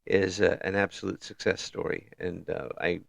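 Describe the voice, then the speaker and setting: A man from Illinois hosting a casual online interview, talking in halting restarts with frequent chuckles. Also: low-pitched, level tone, gravelly, dry recording, studio quality. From Illinois, USA. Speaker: interview show host.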